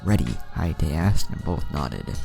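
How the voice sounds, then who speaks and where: A man's voice: low-pitched, expressive, brisk, gravelly, over music. A man narrating an anime fan story, reading out both the action and the dialogue.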